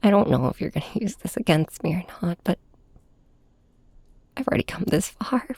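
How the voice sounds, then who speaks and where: A woman playing a heartbroken young superhero in an intimate ASMR audio drama, confiding in a villain with halting, raw emotion in a breathy, whispery voice. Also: on the low side, very animated, darkish tone, dry recording, exaggerated voice. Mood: weary, bitter. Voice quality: breathy, often dropping to a whisper. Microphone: close microphone, dry.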